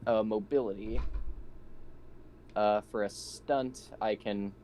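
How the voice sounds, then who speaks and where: A man's voice: lowish voice, steady tone, slow, warm tone. A man playing a tabletop roleplaying game, chatting casually and thinking aloud hesitantly. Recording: noticeable background noise.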